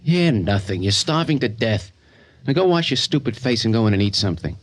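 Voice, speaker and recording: An actor playing the blunt, taunting, impatient inner self of a grieving young man in a radio drama, with a husky voice. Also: fairly low pitch, lively intonation, a little fast. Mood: impatient goading. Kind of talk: old-time radio drama acting.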